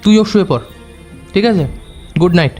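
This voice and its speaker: A man as thoughtful detective, slightly high voice, clipped, commanding yet casual, audio story, music underneath. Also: moderate pace, a little mellow, natural voice. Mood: matter-of-fact. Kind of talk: Bengali audio story acting.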